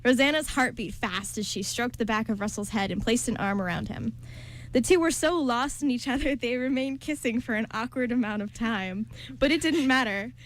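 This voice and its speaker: A young woman narrating a romance script aloud for an amateur radio show, in a steady storybook manner. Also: fairly high pitch, moderate pace.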